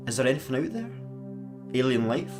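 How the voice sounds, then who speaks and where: An actor with a Scottish accent playing a jumpy, sarcastic, bumbling satellite engineer in a sci-fi comedy radio play. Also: male voice, mid-range voice, brisk, over music, natural voice. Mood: musing. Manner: excitable, stammering, sarcastic.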